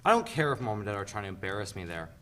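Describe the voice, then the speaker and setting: A man's voice: lowish voice, sing-song, very fast, pushed and bright. A man playing an awkward, easily embarrassed eighteen-year-old son in a dramatic play reading. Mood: relieved, frank.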